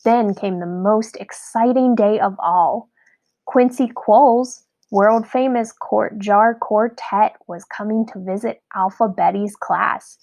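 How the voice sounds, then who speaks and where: A woman narrating a scripted children's story in an expressive, deliberate read-aloud style. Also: mid-range voice, gravelly, low-quality recording.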